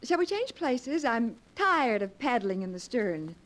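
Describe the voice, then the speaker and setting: A frightened young woman with a high, lively voice that stumbles in breathless, halting phrases. She speaks slowly, heard through an old radio recording with faint hiss. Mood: light, playful. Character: young woman drowning in panic. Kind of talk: 1940s radio acting.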